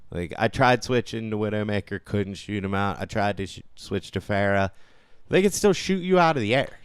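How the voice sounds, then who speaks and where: Low-voiced man in casual gaming chat. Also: animated, normal speed, clear, resonant tone, no room sound. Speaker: podcast co-host.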